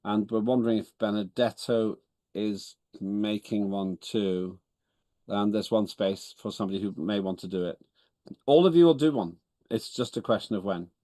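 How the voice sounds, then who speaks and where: A man's voice: fairly low pitch, moderate pace, warm tone, no room sound. A man whose first language is Estonian, chairing an online parliamentary meeting in a businesslike way, often correcting himself with a dry humor. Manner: businesslike, self-correcting, wry. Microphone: video call.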